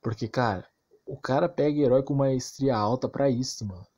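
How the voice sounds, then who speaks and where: A man's voice: mid-range voice, fairly quick, mellow, dull audio. A man with a Brazilian accent giving informal game commentary, arguing insistently and repeating himself with plenty of slang. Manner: argumentative, insistent, repetitive, slangy. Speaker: gaming YouTuber.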